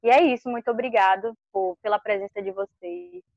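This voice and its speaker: Brazilian woman, higher voice, dull lo-fi audio. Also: expressive, a little fast, gravelly, a little mellow, no echo. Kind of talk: casual closing remarks in an online discussion. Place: video call.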